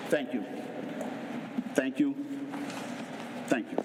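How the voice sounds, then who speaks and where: A man's voice: medium pitch, very slow. A man, the mayor, giving an informal ceremonial speech with a proud, folksy manner. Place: large city hall chamber. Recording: echoey hall with crowd noise.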